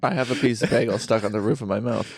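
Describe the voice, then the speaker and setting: A man's voice: medium pitch, fast talker, breathy edge, no room sound. A young man co-hosting a podcast from a friend's basement, in rambling casual chat. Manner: rambling, animated storyteller. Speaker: podcast co-host.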